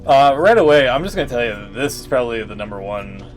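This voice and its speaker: A man's voice: medium pitch, sing-song, fast talker. A man giving casual live-stream commentary on a game in a blunt, rambling way. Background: faint music.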